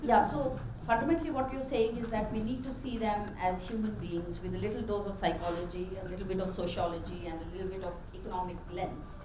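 Loud middle-aged woman moderating in a hall. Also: medium pitch, fairly even intonation, fast talker, hardly any pauses, background noise. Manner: loud, self-described loud voice. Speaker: panel moderator. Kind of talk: moderating a panel discussion. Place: stage of a festival hall, indoors. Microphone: handheld microphone. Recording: echoey hall with clear ambience.

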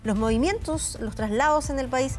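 A Chilean woman anchoring live news, speaking in a measured way with frequent hesitation fillers. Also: high-pitched, faint music behind. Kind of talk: live studio news commentary.

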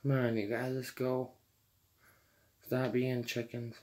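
A teenage boy casually commenting while he plays a video game, chatty and quick to laugh and apologize. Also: medium pitch, completely flat delivery, a little mellow. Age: teens. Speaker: gamer streamer.

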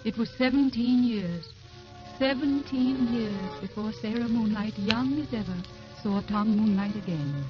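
An actress narrating an old radio romance as a wistful storyteller. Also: female voice, on the high side, unhurried, lightly acted. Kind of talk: scripted radio drama narration. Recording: muffled old radio recording over music.

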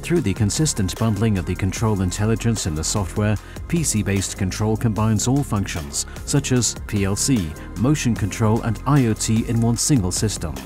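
A man reading a scripted news voice-over in a measured, formal way. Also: on the low side. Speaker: narrator. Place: studio voice-over. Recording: voice-over over loud music. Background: music.

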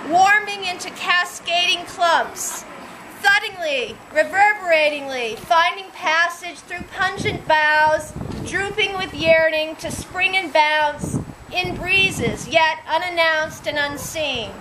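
A woman reading her own poems aloud at a nighttime vigil in an outdoor gazebo, slow and deliberate, recorded by a distant camera. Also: very high-pitched, background noise. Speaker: poetry reader at a vigil.

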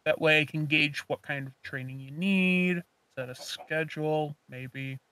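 A woman's voice: low-pitched, fairly even intonation, measured pace, fairly bright tone, dry recording. A woman playing an easygoing, offhand dragon slayer mentor in a game roleplay, musing casually. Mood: easygoing, cheerful. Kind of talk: amateur roleplay acting in a game video.

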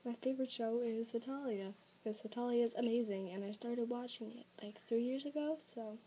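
A teenage girl chatting casually into a laptop webcam at night, soft-spoken, giggly and a little hesitant. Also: a little high, fairly quick, slight background noise, low-quality recording. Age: teens. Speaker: vlogger sharing facts. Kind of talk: casual late-night vlog. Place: small blanket fort indoors at night. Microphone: laptop webcam close up.

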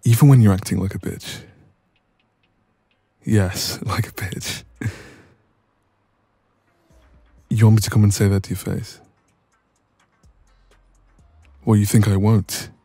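A man playing a dominant, cocky young ex-boyfriend in an intimate audio roleplay, soft and breathy, smug and casual, close to the microphone. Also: lowish voice, fairly even intonation, normal speed, no echo. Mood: smug, irritated.

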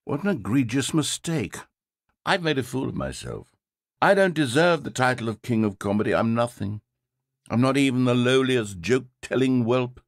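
A fussy, theatrical game narrator. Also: male voice, mid-range voice, big pitch swings, normal speed, creaky, dry recording. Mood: self-pitying. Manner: theatrical, fussy, swings between pride and self-pity. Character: fussy, vain male narrator obsessed with comedy. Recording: dry close studio sound.

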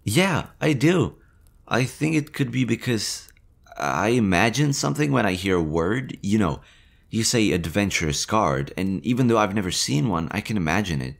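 A man voicing a nervous, gentle young male slime monster in an audio roleplay, talking softly and hesitantly. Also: lowish voice, lively intonation, moderate pace, vocal fry. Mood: earnest. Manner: soft, hesitant, chatty.

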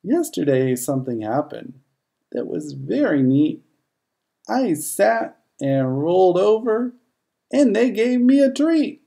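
A narrator voicing a happy, innocent baby basset hound in a rhyming children's storybook. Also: sing-song, slow, creaky, mellow, heavily acted.

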